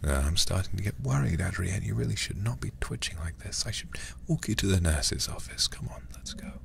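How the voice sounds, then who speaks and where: A man voicing a concerned, formal adult questioning a child in a measured, proper way. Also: low voice, expressive, vocal fry, a little hiss or hum, full character voice. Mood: worried.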